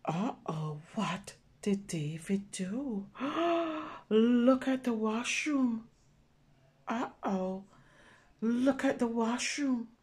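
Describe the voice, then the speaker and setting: Slow-talking woman reading to young children. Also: on the high side, animated, a little mellow, slightly rough. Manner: simple, child-directed explaining with check-in questions. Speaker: children's read-aloud storyteller. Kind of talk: picture book read-aloud with commentary for young children.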